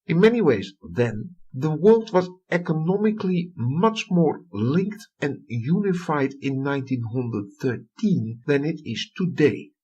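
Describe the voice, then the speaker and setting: A middle-aged man with a Dutch accent lectures on history, reading from a prepared text. His voice is husky and sits fairly high for a man. He leans on key words with deliberate emphasis. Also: animated, darkish tone, dull audio. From Netherlands. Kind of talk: recorded academic lecture.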